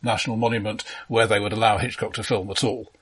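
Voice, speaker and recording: A man co-hosting a casual film discussion in a halting, wry conversational manner. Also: fairly low pitch, level tone, fairly bright tone, breathy edge. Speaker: podcast co-host.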